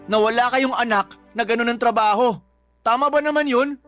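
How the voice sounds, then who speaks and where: An actor with a Filipino accent playing an aggrieved grown son, pleading and indignant, in radio drama style. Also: male voice, very high voice, moderate pace, a bit bright. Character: hurt, aggrieved grown son, a working-class waiter. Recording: faint music bed.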